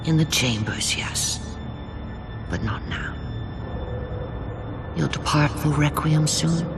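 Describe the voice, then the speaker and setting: A woman playing a solemn, weary ancient alien elder in a video game, with a hushed, formal delivery. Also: sits low, slow pace, over music. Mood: somber, hushed. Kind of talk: video game cutscene acting.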